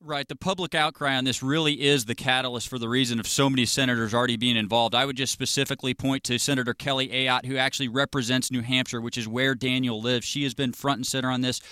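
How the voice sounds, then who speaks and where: A man's voice: medium pitch, steady tone, fast talker, few pauses, bright, forceful tone, smooth, no room sound, studio quality. A man co-hosting a talk radio show, earnest with a preacherly emphasis. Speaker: radio co-host.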